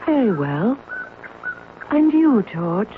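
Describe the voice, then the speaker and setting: Serene wife on narrowband old radio. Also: female voice, on the high side, big pitch swings, slow pace, noisy background. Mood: composed, reassuring. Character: serene married woman lost in a borrowed identity. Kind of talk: radio drama acting.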